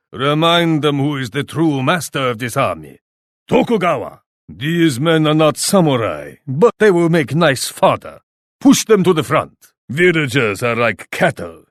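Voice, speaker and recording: An actor playing an arrogant, ruthless warlord in a video game, speaking in a stern, imperious and formal manner. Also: male voice, fairly high pitch, no room sound, clean studio sound. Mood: callous, commanding. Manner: imperious, stern, formal, refers to himself in third person. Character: arrogant, ruthless, scheming warlord who scorns his allies. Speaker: computer player in a strategy game. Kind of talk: scripted video game voice lines.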